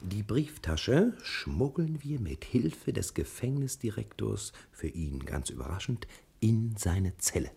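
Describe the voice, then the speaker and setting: Smooth, husky-voiced scheming detective. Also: male voice, on the low side, big pitch swings, a little slow, mellow, full character voice. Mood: self-assured, scheming. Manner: courteous, self-assured, insinuating. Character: self-assured, scheming male private detective, smoothly courteous. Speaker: actor in a radio crime drama. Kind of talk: 1960s German radio drama acting.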